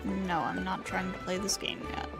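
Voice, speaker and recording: A woman's voice: fairly low pitch, flat delivery, normal speed, gravelly, over loud music. A woman live-streaming a game with casual, offhand, wry commentary.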